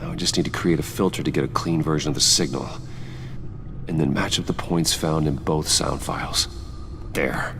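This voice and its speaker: Grim vigilante detective, extremely low pitch, measured, terse, serious, video game drama, noisy background. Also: male voice. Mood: determined. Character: grave, determined adult male vigilante detective, low and controlled. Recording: clearly noisy game audio.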